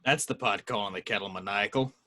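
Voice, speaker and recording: A man's voice: fairly low pitch, a little fast, pushed and bright, no echo. A man playing a skeptical, sardonic hard-boiled detective in an amateur film-script read.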